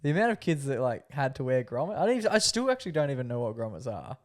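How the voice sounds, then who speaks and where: A man's voice: medium pitch, very animated, racing. A young man co-hosting a podcast, telling rambling stories in casual chat with some swearing. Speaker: podcast co-host.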